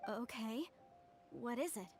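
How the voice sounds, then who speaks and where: A woman's voice: on the high side, takes it slowly, music in the background. An actress playing an earnest, stubborn young woman protective of ancient relics in a game, speaking insistently. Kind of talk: game voice acting.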